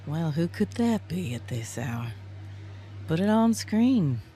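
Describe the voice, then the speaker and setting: A man plays a relaxed Southern belle ship pilot with a Southern US drawl. She takes her words slowly and sits a little high. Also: a little hiss or hum. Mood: genteel. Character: languid female Southern belle starship pilot.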